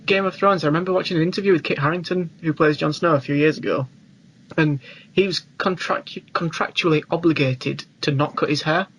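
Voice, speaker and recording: A man's voice: fairly high pitch, brisk, fairly bright tone, slightly rough, poor audio. A man, co-hosting a podcast in casual, slangy film chat. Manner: informal, uses slang. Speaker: podcast co-host.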